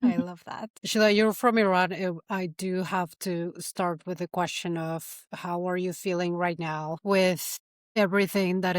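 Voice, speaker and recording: A woman hosting a podcast interview, asking questions gently and affirming her guest. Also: on the low side, no echo, studio quality. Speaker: podcast host.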